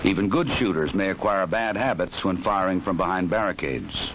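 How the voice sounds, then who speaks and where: A man with an American accent giving authoritative, measured scripted narration for a 1960s instructional film. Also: sits low, animated. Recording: noisy 1960s film soundtrack.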